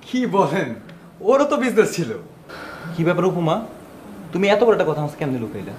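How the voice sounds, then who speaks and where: An actor playing a genial, talkative, curious husband hosting a guest in a TV drama, with a Bangladeshi Bengali accent and a familiar manner. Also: male voice, high-pitched, normal speed, a little hiss or hum. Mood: surprised, curious. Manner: animated, inquisitive, familiar. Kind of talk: TV drama dialogue. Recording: echoey room, music underneath.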